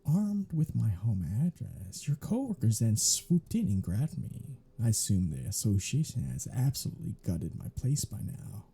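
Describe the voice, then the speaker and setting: A sardonic male vampire, acted out by a man, grumbles and teases in an intimate ASMR audio drama. His voice is very dark and heavily husky, with a gravelly creak. He drawls his mockery with irreverent sarcasm. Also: fairly low pitch, very animated, moderate pace, hardly any pauses, heavily acted. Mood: sardonic, resigned.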